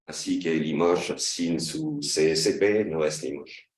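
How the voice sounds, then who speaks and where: A very low-voiced man in his thirties with a French accent gives an academic talk, working through a second language with frequent halts and self-corrections. He sounds set back from the mic in an echoey room. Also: slow pace, far from the mic, a little hiss or hum. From France. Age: 30s. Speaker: guest lecturer.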